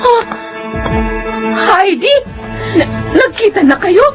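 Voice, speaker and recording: An actress playing a sly, cruel, treacherous family friend in a Filipino melodrama, whiny, stammering and evasive. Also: female voice, very high-pitched, lively intonation, slow pace, over music, exaggerated voice. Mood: indignant.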